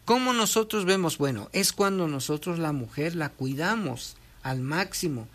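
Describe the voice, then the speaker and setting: A speaker talks in a patient, teacherly way, reading passages aloud and then explaining them. The voice sits at an ordinary middle pitch. They keep pausing to make sure the listener follows. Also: animated, fairly bright tone. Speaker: guest explaining traditional midwifery. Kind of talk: conversational explanation, reading from a text and commenting.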